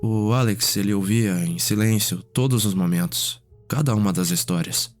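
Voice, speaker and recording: Male, low voice, ASMR roleplay as Cedric-type vampire noble: reflective, unhurried storytelling over music.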